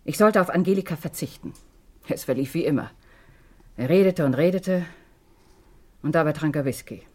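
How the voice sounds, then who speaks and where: A woman's voice: deep voice, expressive, moderate pace, darkish tone. An actress playing a middle-aged divorced mother in a German radio crime drama, devoted to her children and bitter about her past. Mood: bitter, weary.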